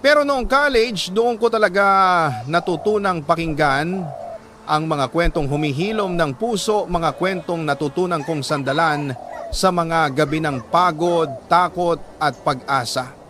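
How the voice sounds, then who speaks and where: A man with a Filipino accent reading a scripted dramatic story in an earnest, sentimental, measured way. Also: a little high, background noise. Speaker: radio drama narrator reading a listener's letter. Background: background music.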